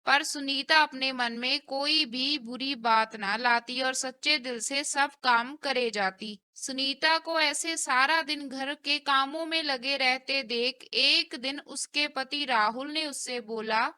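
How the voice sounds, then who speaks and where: A woman's voice: high voice, slow pace, hardly any pauses, bright, forceful tone, smooth, dry recording. A woman narrating a scripted cartoon story.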